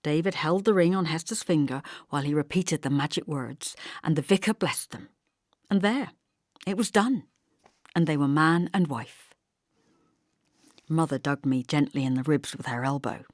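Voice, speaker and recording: A woman narrating a literary novel as an audiobook, in a measured, expressive storytelling manner. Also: low voice, no room sound, studio quality.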